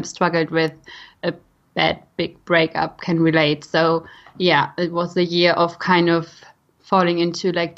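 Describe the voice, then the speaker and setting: A woman's voice: lowish voice, a bit bright. A young woman from Germany with a German accent, telling her own story conversationally as a podcast guest. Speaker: podcast guest, nutrition coach. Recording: dull, slightly lo-fi audio.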